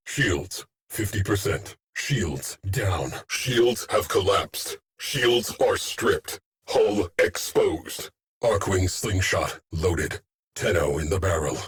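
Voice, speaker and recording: An actor playing a terse, stoic military starship AI in a video game, giving clipped, deadpan orders and status callouts. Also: male voice, on the low side. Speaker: ship's tactical AI officer.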